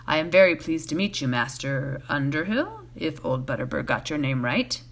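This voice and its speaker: A woman voicing a stern, weather-beaten male ranger in a storybook, speaking gravely in a low, measured voice. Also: very animated, exaggerated voice. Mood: grave warning.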